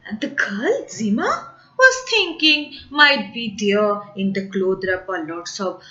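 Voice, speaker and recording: A woman's voice: mid-range voice, expressive, slow pace, pushed and bright. A woman telling a folk tale to children in a dramatic way, repeating phrases and addressing her listeners directly. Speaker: children's storyteller. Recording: dull lo-fi audio with music behind. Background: music.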